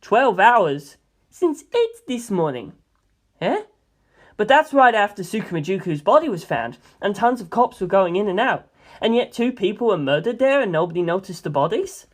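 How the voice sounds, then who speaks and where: A woman's voice: medium pitch, big pitch swings, moderate pace. A woman voicing an inquisitive, easily startled young male detective, questioning and hesitant, in a story reading. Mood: curious, startled.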